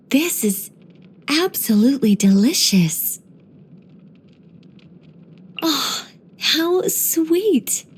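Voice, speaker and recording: A woman voicing an ancient angel in a video game, dignified yet whimsical and chatty, with a measured, gently playful delivery. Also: a little high, breathy edge, heavily acted. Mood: sweet, delighted. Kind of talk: video game character voice lines.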